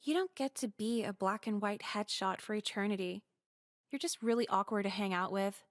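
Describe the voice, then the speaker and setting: A woman voicing a sardonic, depressed teenage high school girl in a visual novel game, deadpan, weary and profane. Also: normal speed, natural voice. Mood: bleak, deadpan.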